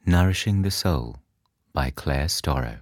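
Very deep, slow male bedtime narrator. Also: animated, no room sound, clean studio sound. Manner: soothing, measured. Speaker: story narrator. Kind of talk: scripted bedtime story narration.

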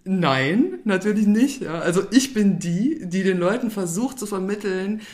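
A woman in her forties, a guest in a relaxed interview, talking easily, chatty and quick to laugh. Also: mid-range voice. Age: 40s. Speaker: guest, de-escalation trainer. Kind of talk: casual interview chat.

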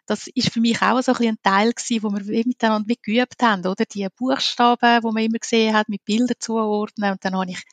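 A woman's voice: a little high, steady tone, fast talker, few pauses, no echo. A woman of Italian origin who hosts a conversational interview and chats in a personal way, often ending with a question tag. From Italy. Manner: chatty, personal, tags remarks with questions. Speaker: podcast host.

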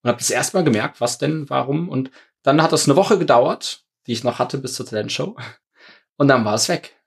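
A man telling a story in a relaxed podcast chat, laughing often. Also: mid-range voice, a little fast, gravelly, slightly rough. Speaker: podcast co-host, magician.

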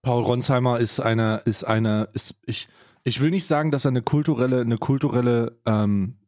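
A man's voice: mid-range voice, normal speed, a little mellow, no room sound, studio quality. A man in casual comedic podcast banter, rambling and repeating phrases mockingly. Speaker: podcast co-host.

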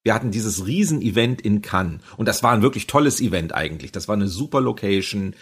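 A man's voice: on the low side, lively intonation, fairly quick, slightly rough. A man in a casual podcast discussion, telling long anecdotes and rambling explanations. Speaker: podcast guest, former industry insider.

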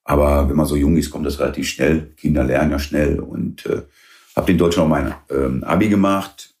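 A middle-aged man, English-born and raised in Germany, telling anecdotes in a relaxed interview, with many fillers and playful quoted voices. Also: extremely low pitch, brisk. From England. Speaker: guest. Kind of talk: casual interview storytelling.

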